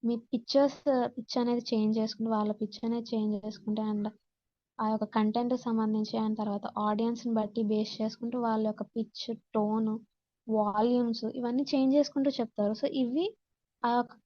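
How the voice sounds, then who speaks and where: A woman's voice: on the high side, flat delivery, brisk, no room sound. A woman with a Telugu accent teaching in an instructional classroom-lecture manner, mixing in English terms, on dull, muffled audio. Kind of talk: classroom lecture reading out points and explaining them.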